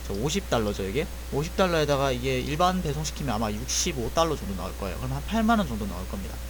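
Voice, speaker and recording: A man's voice: mid-range voice, very animated, quick pace, background noise. A young man casually explaining a repair job, thinking aloud and correcting himself as he goes. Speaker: airsoft gun technician. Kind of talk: casual spoken tutorial.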